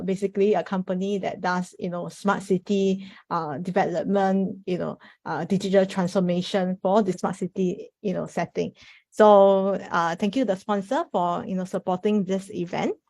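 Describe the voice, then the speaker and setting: Mellow-voiced woman moderating a panel. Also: medium pitch, dry recording. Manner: informal, repeatedly says "you know". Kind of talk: spoken event introduction to an audience.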